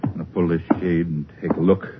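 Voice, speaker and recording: A man acting as a brutal American convict on the run in a vintage radio crime play. He talks low and fast, barking slangy orders with impatient menace. The old recording is thick with noise. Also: little pitch movement, close to an everyday voice. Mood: tense, bossy. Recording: noisy, muffled old radio broadcast recording.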